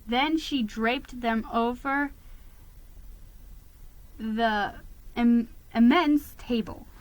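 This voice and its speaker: A woman giving a dramatic, expressive reading of a first-person children's story. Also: on the high side, drawn-out words, low-quality recording. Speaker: storybook reader.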